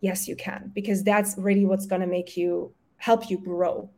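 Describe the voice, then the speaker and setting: Woman, German and Polish native, middle pitch; earnest motivational coach talk, frequent quick restarts. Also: level tone, mellow. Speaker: guest, women's health coach. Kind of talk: casual podcast conversation.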